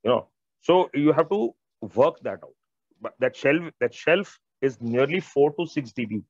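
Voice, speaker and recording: A man's voice: medium pitch, expressive, normal speed, dry recording. A middle-aged man with an Indian accent explains technical material informally and emphatically on a video call, repeating phrases and addressing listeners directly. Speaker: audio expert presenter. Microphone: wired earphones on a video call. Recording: dull band-limited video-call audio.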